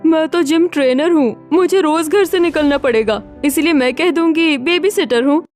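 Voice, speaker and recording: Woman playing a high-voiced spirited young woman. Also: normal speed, a little mellow, faint music behind, lightly acted. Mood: excited, scheming. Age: young adult. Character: spirited, scheming young modern single women seeking housing. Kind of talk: acted Hindi story dialogue.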